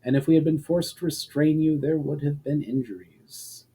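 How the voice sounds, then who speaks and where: Man acting out elf woman, fantasy storybook, resolute, regretful, formal diction, mellow timbre. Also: fairly high pitch, slightly rough. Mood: sad, earnest. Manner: formal, measured, solemn.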